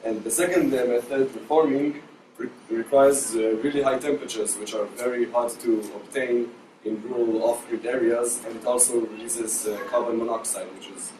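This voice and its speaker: A man's voice: medium pitch, steady tone, normal speed. A young man from Israel explains the science in a student presentation, hesitating often with ums and restarts. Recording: echoey room, distant mic, noise.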